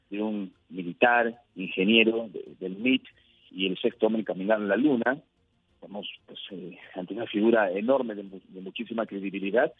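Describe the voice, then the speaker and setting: A man from Peru, answering as a radio interview guest in measured, emphatic phrases. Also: on the low side, breathy edge, dry recording. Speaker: interview guest, UFO researcher. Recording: thin phone-like line.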